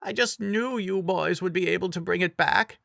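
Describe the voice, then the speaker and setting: A man voicing a kindly, gentle old woman in a dramatic fantasy story reading. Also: sits high, normal speed, gravelly, dry recording, studio quality, voice pushed up, full character voice. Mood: pleased.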